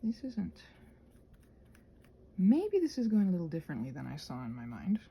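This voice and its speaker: A woman chatting casually in a rambling ASMR style, with a soft breathy voice that often drops into a whisper, questioning herself and thinking out loud. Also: on the low side, huge pitch swings, normal speed. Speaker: ASMR video creator and host.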